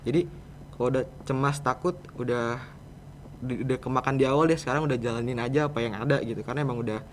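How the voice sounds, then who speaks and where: A man's voice: mid-range voice, fairly even intonation, quick pace, a little hiss or hum. A teenage male student with a Jakarta Indonesian accent, answering casually and a little hesitantly as a podcast guest. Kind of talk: casual interview chat.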